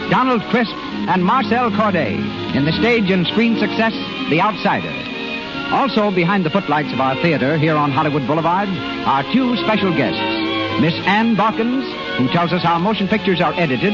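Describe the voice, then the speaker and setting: A man's voice: sits high, lively intonation, moderate pace, over loud music. A man announcing a 1930s radio show in a formal, declamatory manner. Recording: muffled old radio recording.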